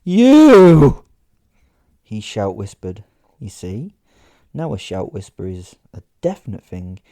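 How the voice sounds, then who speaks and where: Mellow man telling a wry tale. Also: on the low side, no echo, clean studio sound. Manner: wry, conversational asides. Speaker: narrator and podcast host. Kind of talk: first-person horror comedy storytelling.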